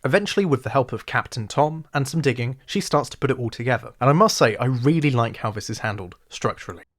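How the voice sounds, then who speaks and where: A man with an English RP accent narrating a scripted video essay in a wry, sarcastic, animated way. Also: lowish voice.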